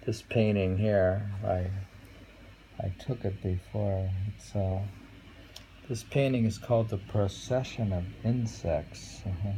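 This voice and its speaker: A man reading haiku aloud casually, musing and halting, murmuring to himself between poems. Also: lowish voice, steady tone, dark tone, slight background noise, rough recording. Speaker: reader of a haiku book. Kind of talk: casual poetry read-aloud with musing commentary.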